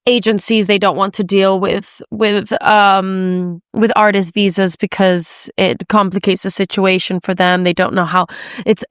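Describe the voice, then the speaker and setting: Clear-voiced woman hosting a casual podcast. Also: medium pitch, no room sound, clean studio sound. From Spain. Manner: informal, chatty, peppered with "like". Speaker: podcast host. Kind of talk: casual conversational interview.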